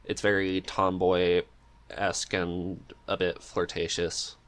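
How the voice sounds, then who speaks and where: A man with a low voice talks as a guest in a relaxed interview. He thinks aloud, a little hesitant, in an easygoing conversational way. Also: slow pace. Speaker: podcast guest, script writer and amateur voice actor. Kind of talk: casual interview chat.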